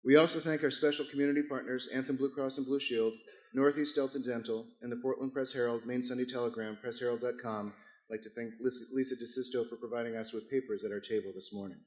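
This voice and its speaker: Hoarse man announcing in an echoey hall. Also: mid-range voice, level tone, fast, a little mellow. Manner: formal scripted announcing with occasional stumbles. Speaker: chamber of commerce president hosting a business forum. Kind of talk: reading sponsor announcements at a breakfast event. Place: large event hall.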